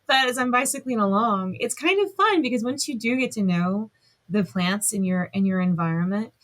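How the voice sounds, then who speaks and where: A woman's voice: mid-range voice, expressive, moderate pace, fairly bright tone. A woman hosting a podcast, talking casually with a guest and acting out little spoken asides. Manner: conversational, acts out little asides. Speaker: podcast host.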